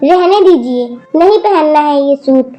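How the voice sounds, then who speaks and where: A woman's voice: high voice, animated, measured pace, lo-fi sound, soft background music. A woman voicing a spoiled college-age daughter who snaps and whines rudely at her mother. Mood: snapping. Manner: whiny, snapping, rude.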